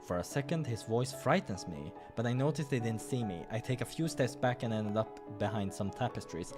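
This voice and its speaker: A man's voice: mid-range voice, lively intonation, moderate pace, music in the background. A man hosting a game playthrough, reading visual novel narration and breaking off for casual asides.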